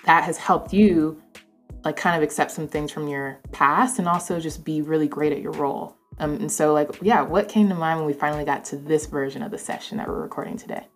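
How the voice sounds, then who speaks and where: A woman hosting a casual interview, talking informally and haltingly with many conversational asides. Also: sits low, faint music behind. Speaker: interview host.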